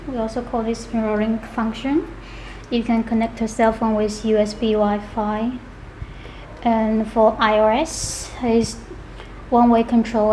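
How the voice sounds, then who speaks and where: A woman giving an unscripted product demonstration, talking in a halting way and going through items one by one. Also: on the high side, warm tone, a little hiss or hum, low-quality recording. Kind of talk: unscripted product demo walkthrough.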